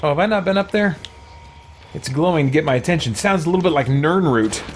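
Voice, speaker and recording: A man's voice: on the high side, animated, fast, a little hiss or hum. A man hosting a casual let's play, thinking aloud and exclaiming as he goes. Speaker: gaming channel host.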